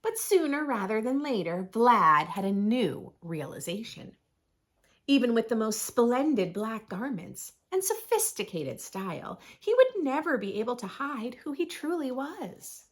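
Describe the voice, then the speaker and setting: Lively, unhurried woman reading a children's story. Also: on the high side. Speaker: children's storybook reader, host. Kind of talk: expressive read-aloud narration for preschoolers.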